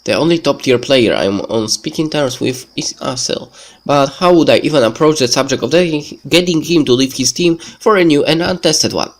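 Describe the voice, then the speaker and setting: A man who is a bit sick hosts a let's play, reading a visual novel script aloud in a halting, stumbling way. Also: mid-range voice. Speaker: let's play video host. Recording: music behind the voice. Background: background game music.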